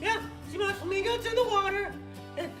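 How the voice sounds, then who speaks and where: Squeaky, excitable little girl puppet. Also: brisk. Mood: giddy, rambling. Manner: rambling, repetitive, childlike. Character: high-voiced excitable bossy little girl puppet, easily distracted. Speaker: children's puppet show host. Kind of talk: playful improvised storytelling for kids. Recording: roomy echo, faint music behind.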